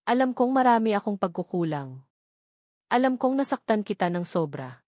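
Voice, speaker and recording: A woman's voice: medium pitch, very animated, moderate pace, a little mellow, no echo, natural voice. Filipina lady doing a sorry young filipino dad in a love story, earnest, pleading, dry recording. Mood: earnest, remorseful.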